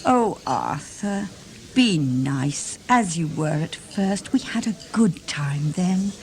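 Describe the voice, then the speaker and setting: An actress playing a needy, manipulative, volatile wife in a radio drama, theatrical, shifting from wheedling to barbed. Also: female voice, sits low, lively intonation, slow pace, darkish tone, exaggerated voice. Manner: theatrical, wheedling, turning from breathy coaxing to barbed.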